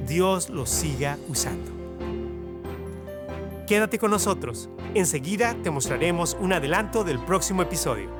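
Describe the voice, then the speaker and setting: A man's voice: high voice, expressive, normal speed. A man reading scripted promotional narration as the announcer of a religious program. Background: music.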